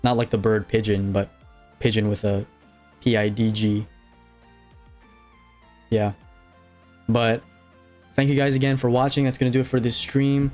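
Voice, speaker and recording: A man with a slight Hawaiian accent, talking loosely to viewers during a live stream. His voice sits at an ordinary middle pitch and he wanders through his thoughts with lots of ums and restarts. Faint music plays underneath. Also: little pitch movement, measured pace, pauses often, darkish tone. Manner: rambling, many fillers and restarts, reading chat aloud. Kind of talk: casual live stream chat.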